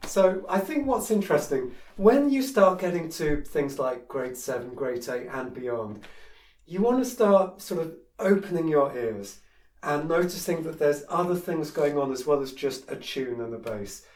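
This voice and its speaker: A man's voice: on the high side, animated, a little slow, a little mellow. Chatty man teaching piano in a roomy space. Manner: chatty, frank, sings melodies on "da". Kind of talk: casual piano tutorial, singing passages. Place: room with a piano. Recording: roomy, distant mic, piano playing.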